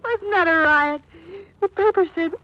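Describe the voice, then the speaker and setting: A high-voiced, kittenish femme fatale. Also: female voice, measured pace. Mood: playful, greedy. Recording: dull, noisy 1940s radio recording.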